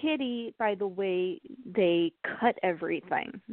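Heard through thin, phone-like audio, a woman of medium pitch chats casually. Her delivery is halting, with phrases restarted and repeated. The sound is dry and close. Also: no echo. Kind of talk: casual chat.

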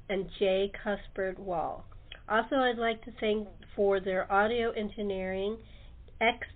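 Woman host reading credits. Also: mid-range voice. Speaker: podcast host. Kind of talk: credits and sign-off. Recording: phone-like lo-fi.

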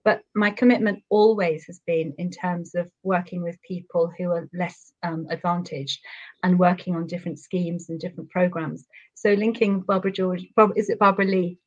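A chatty, earnest woman with a London accent, a touch low in pitch, talking at length as a guest. Her voice comes through dull lo-fi call audio. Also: fairly even intonation, moderate pace. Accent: London English. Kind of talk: casual interview chat over a call.

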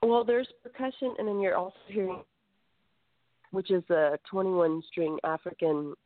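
A woman's voice: lowish voice, steady tone, normal speed, no echo. Reflective woman on a phone line. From Greece. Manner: reflective, with many fillers. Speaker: musician guest. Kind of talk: radio interview.

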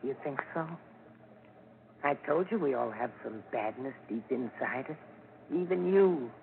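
A man's voice: mid-range voice, expressive, unhurried, vocal fry. An actor playing a sly, bitter, sinister man who hates everyone, theatrical and mocking, in a 1940s radio drama. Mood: coolly menacing. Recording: scratchy, tinny 1940s radio recording.

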